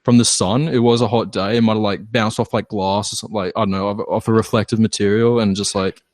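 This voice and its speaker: A man's voice: fairly low pitch, fairly even intonation, fast talker, darkish tone, dry recording, clean studio sound. A young Australian man chatting casually and telling anecdotes in a rambling, slangy way. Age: young adult. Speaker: band member and podcast guest. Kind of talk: casual group chat, anecdotal storytelling.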